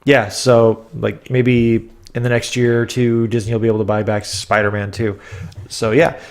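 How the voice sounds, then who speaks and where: A man's voice: mid-range voice, little pitch movement, moderate pace. A man hosting a casual podcast chat while fighting a cold, nasal and stuffed up, rambling and restarting his sentences. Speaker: podcast host.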